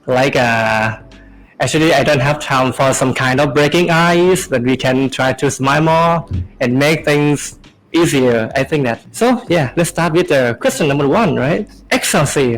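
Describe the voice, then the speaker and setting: A young man hosting a casual podcast, talking in halting phrases with many fillers. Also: fairly high pitch, expressive, poor audio. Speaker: podcast host.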